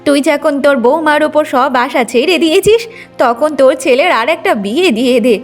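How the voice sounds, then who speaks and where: A woman's voice: sits high, a little fast, soft background music, lightly acted. A woman playing a boastful, meddling older village grandmother in a cartoon moral story. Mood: meddling, persuasive.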